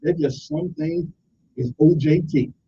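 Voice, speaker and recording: A man's voice: a little high, a little slow, mellow, dull audio, slight background noise. A man hosting a radio talk show with a Southern US accent, rambling and joking, often tagging his points with "okay?". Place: radio studio.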